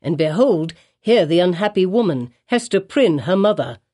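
A woman's voice: on the low side, animated, moderate pace, mellow. A woman voicing a kindly, fussy old male minister with quaint archaic speech. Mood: curious.

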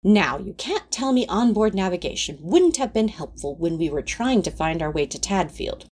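A woman's voice: on the low side, sing-song, normal speed, a little mellow, slightly rough. A woman playing a fussy, placating, easily affronted male companion in a fan audio drama, prim and coaxing.

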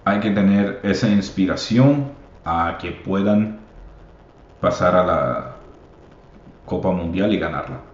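Podcast host, man, deep and unhurried, mixes in English, encouraging, echoey dull recording. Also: lively intonation. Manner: switches between Spanish and English, many fillers; encouraging, calls listeners papi. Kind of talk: casual podcast monologue. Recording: echoey room, slightly dull sound.

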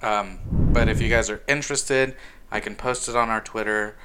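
A young man co-hosting a podcast in casual chat, with a slightly nasal voice that is a little rough in the throat. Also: fairly low pitch, steady tone, normal speed, noisy background. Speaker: podcast co-host.

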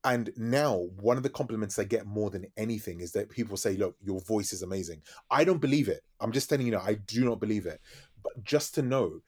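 Fast-talking DJ, lowish voice. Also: male voice, slightly rough. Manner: fast-talking, animated storytelling. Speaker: DJ and radio host, podcast guest. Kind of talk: casual interview chat.

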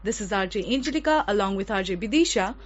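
A woman's voice: medium pitch, lively intonation, quick pace. A woman with an Indian accent presenting a radio show in a conversational style. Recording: dull lo-fi audio.

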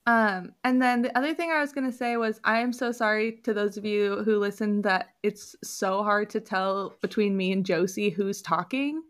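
A woman's voice: mid-range voice, normal speed, few pauses. A young woman co-hosting a podcast, chatting in a giggly, apologetic way. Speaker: podcast co-host. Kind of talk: casual chat.

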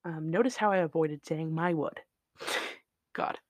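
A podcast host talking in an unscripted solo chat, with a flat, monotone delivery, rambling from one tangent to the next with lots of fillers, into a shelf-mounted mic. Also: medium pitch, measured pace, no room sound, studio quality. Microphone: shelf-mounted studio mic.

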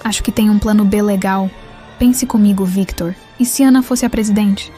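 A woman's voice: mid-range voice, steady tone, normal speed, dark tone, soft background music, lightly acted. A woman with a Brazilian accent playing a loyal, conspiratorial teenage campaign ally in audio drama, explaining and suggesting. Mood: persuasive.

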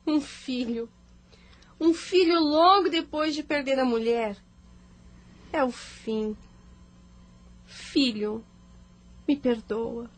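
A defiant, troubled career woman voiced by an actress in a radio play. Her voice is high, and her delivery is emotional and theatrical. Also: darkish tone, full character voice. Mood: anguished. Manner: emotional, dramatic, argumentative. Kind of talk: radio drama acting.

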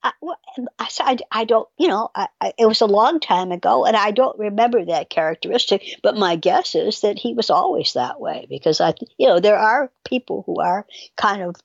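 A woman's voice: mid-range voice, lively intonation, brisk, gravelly, no echo, highs cut off. A woman chatting casually as a podcast guest, rambling and hesitant as she thinks aloud. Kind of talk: casual conversational discussion.

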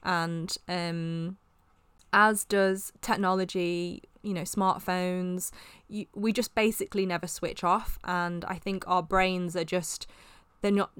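A young woman vlogger with a slightly sore, croaky throat, talking to camera in a chatty, rambling way with many fillers. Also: on the low side, level tone, slow pace, fairly bright tone, dry recording. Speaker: wellness vlogger. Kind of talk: casual talk to camera.

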